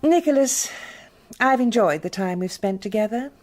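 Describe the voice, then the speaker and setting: An actress playing a cool, shrewd blackmailing wife in a radio drama, poised and insinuating, with a British accent. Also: female voice, fairly high pitch, expressive, moderate pace, a little mellow, natural voice. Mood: cool, guarded.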